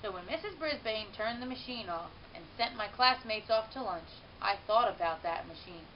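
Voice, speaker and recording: A woman narrates a children's book with animated expression. She leans on key words and draws them out. The recording is dull, with a faint hiss behind her. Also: medium pitch, moderate pace. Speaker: teacher reading a children's book aloud. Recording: muffled, hissy home-style recording.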